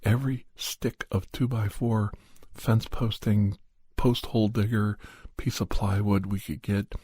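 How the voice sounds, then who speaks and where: Low husky guy doing asmr scary story, soft n unhurried, kinda halting, super dry close sound. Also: steady tone, no room sound. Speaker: podcast host and storyteller. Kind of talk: ASMR first-person horror storytelling.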